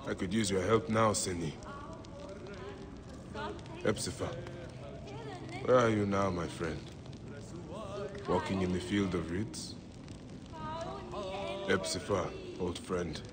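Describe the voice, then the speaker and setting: An actor playing a grieving, vengeful ancient Egyptian warrior in a video game, solemn and heartfelt. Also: male voice, low voice, steady tone, slow pace, long pauses, a loud crowd. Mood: mournful. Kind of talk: dramatic video game acting. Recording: loud music behind the voice.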